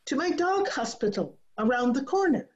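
A woman's voice: high voice, sing-song, normal speed. A woman playing a brusque, odd dog doctor with stagey, clipped phrasing in an old-time radio comedy, on a muffled video call. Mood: jolly, shady. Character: brusque, cackling quack dog vet Doctor Spitz.

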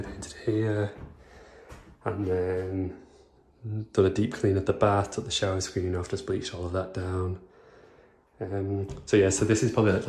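A man's voice: sits low, a little mellow, a little hiss or hum. A young man in his twenties giving a chatty, rambling house-tour vlog with lots of fillers, recorded on a phone. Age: 20s. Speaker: property renovation vlogger. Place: empty house under renovation, indoors. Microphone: phone camera, handheld then propped up. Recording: echoey bare rooms.

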